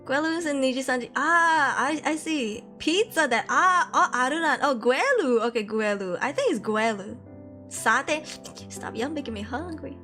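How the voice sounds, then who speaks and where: A young woman streamer from Malaysia chats casually with her viewers, her voice sitting a little high. She laughs a lot and scatters fillers through her talk. Soft music plays behind her. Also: animated, moderate pace. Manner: chatty, laughs often, frequent fillers. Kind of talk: casual chat reading viewer comments.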